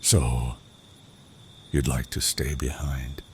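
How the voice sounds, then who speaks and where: Guy acting super deep gravelly raider boss, slow n menacing, horror audio comic, crickets and noise behind. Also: flat delivery. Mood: cold menace. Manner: curt, commanding. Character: gruff, menacing male leader of an armed raider gang. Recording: clearly noisy track with night crickets.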